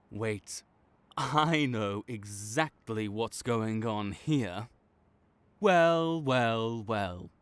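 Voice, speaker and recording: A man acting a cocky, teasing but secretly caring supervillain rival in a dramatic audio roleplay, bantering and exasperated. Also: medium pitch. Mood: smug, coaxing. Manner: bantering, exasperated, faltering into awkward concern.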